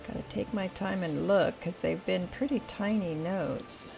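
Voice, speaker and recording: An older woman with a scratchy voice, slowly thinking aloud as she plays a video game. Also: lowish voice, vocal fry, music in the background. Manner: thinking aloud, slow and musing. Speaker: gaming channel host. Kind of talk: casual gameplay commentary. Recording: steady rain noise behind. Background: rain.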